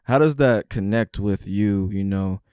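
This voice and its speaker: Chill guy hosting an interview, smooth clean voice, dry sound. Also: on the low side, a little slow, darkish tone, no room sound. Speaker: host. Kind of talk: casual sit-down interview.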